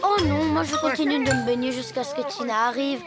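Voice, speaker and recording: A woman voicing a friendly, earnest little boy in a French children's cartoon, with expressive delivery. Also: high voice, a little fast, lightly acted. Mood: content. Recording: music behind the voice.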